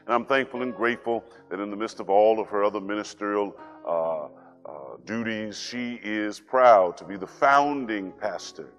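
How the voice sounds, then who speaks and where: A man in his sixties, a pastor making a formal church introduction in a halting, measured way. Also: low voice. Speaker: pastor introducing a guest preacher. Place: indoor church room.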